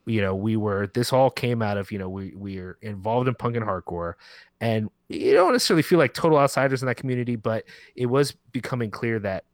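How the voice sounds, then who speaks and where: A man's voice: lowish voice, no room sound, clean studio sound. A man talking casually in an interview as a podcast guest, informal and rambling, often correcting himself mid-sentence. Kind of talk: casual conversational interview talk.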